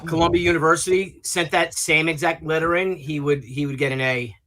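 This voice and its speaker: Male panelist, somewhat high and sharp-toned voice, informal conversational delivery. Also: steady tone, a little fast, faint music behind. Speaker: panelist on a comics livestream. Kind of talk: casual chat. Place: online group call.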